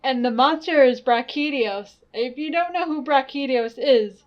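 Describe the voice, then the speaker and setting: A young woman with a naturally high voice co-hosting a casual chat show, giggly and excitable. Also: moderate pace. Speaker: podcast co-host. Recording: dull lo-fi audio.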